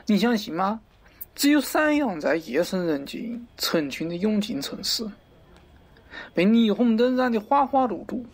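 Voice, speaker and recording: A man's voice: sits high, expressive, unhurried. A man playing a pompous middle-aged wholesale market director in a parody radio drama, avuncular and lecturing, crude yet fond of poetry. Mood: grandly reciting. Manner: avuncular, lecturing, theatrical, abruptly swearing.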